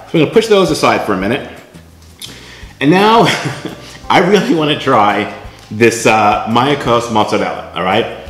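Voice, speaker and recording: A man's voice: mid-range voice, roomy sound. A middle-aged man hosting a casual kitchen vlog, chatty and often tagging his lines with "right?" while he shows food to the camera. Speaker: vlog host. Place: home kitchen, indoors.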